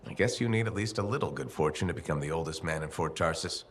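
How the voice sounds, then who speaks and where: An actor playing a steady, frank, reassuring freelancer hero in a video game, speaking in a plain conversational way. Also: male voice, rumbling low, fast. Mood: sympathetic, frank. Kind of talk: video game dialogue acting. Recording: clear ambient noise behind the voice.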